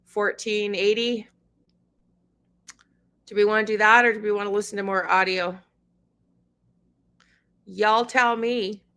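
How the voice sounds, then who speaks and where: A woman's voice: mid-range voice, steady tone, a little slow, long pauses, bright, forceful tone, smooth. A middle-aged woman, hosting a casual livestream, reading news aloud and chatting with viewers by name. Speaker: livestream host.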